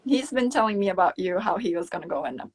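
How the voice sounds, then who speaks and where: A woman's voice: mid-range voice, lively intonation, quick pace, breathy edge, rough recording. A woman hosting a casual interview over a video call, asking questions and cheering her guest on. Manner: brief affirming back-channels. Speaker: interviewer and host.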